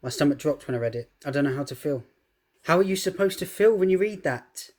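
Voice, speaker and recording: Casting: man playing vulnerable man, fearful and numb, fairly high voice, short-film diary. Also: expressive, brisk, darkish tone. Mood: frightened, stunned. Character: frightened high-risk man, numbed by grim news.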